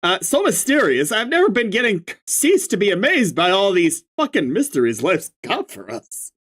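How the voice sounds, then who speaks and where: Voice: mid-range voice, very animated, normal speed, a bit bright, voice pushed up. A reader voicing a young male stoner clown troll with a slurred, clownish drawl who swears lazily and marvels at everything. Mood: lazy awe. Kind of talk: reading a webcomic aloud with voices.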